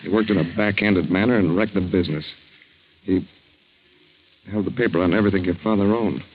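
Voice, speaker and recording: An actor playing a protective young suitor in a 1940s radio drama, earnest, urgent and reassuring. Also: male voice, deep voice, a little fast, a little hiss or hum. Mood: urgent, explaining. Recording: dull old 1940s radio recording.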